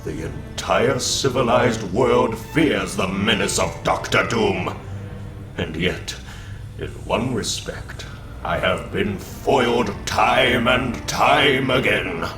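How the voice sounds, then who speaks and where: A man's voice: fairly low pitch, takes it slowly. A man playing a menacing, grandiose supervillain in a comic book dramatic reading, pompous and theatrical in his delivery. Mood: brooding, grandiose. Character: menacing, grandiose male supervillain plotting revenge. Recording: echoing voice over clear background music.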